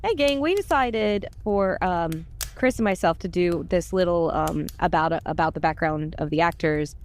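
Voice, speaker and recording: A woman with a fairly low voice chats casually about her work as a voice actor. She is playful and rambles a little. Faint noise sits behind her. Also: expressive, normal speed. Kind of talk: casual self-introduction chat.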